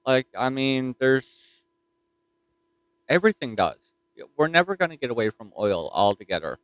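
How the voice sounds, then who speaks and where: A man's voice: mid-range voice, very animated, normal speed, a bit bright, dry recording. A man with a Newfoundland accent chatting casually on a ham radio repeater from his home desk mic, folksy and full of fillers. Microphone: desktop mic.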